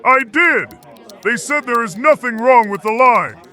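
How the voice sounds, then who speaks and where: An actor as a jittery young man working in a call center, from an audio drama. His voice is sharp and swings widely in pitch. He talks in urgent, insistent bursts, suspicious of everything. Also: animated, measured pace, heavily acted. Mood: panicked insistence. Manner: urgent, short insistent phrases. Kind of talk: scripted audio drama acting.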